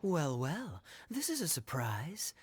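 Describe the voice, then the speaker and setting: A man playing a smooth-talking young knight in a game, suave and playfully teasing. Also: fairly high pitch, wildly swooping pitch, takes it slowly, a little hiss or hum, heavily acted. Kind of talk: game cutscene voice acting.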